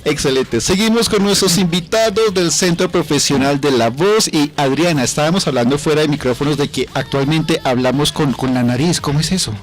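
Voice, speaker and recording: A man's voice: on the high side, a little fast, few pauses. A man with a Colombian accent hosting a live radio interview. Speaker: radio host.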